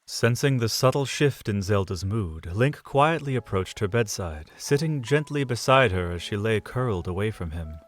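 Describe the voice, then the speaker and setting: A man narrating a romantic fan audio drama, measured and soft. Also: on the low side, animated.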